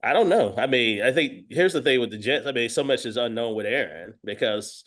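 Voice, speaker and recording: A man's voice: medium pitch, lively intonation, brisk, a bit bright. A man talking as a guest sports analyst, giving conversational football commentary sprinkled with verbal tics like "you know".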